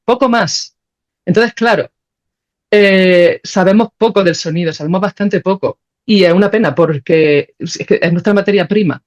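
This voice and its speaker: A woman's voice: mid-range voice, normal speed. Female podcast guest. Conversational, opinionated, stresses points by repetition; slightly dull lo-fi sound. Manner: emphatic, repeats phrases for stress.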